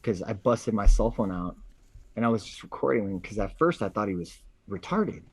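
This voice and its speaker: Husky man ranting in slangy casual talk. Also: fairly low pitch, animated, brisk, dry recording. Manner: slangy, profane, repeats phrases for emphasis. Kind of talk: casual opinionated chat.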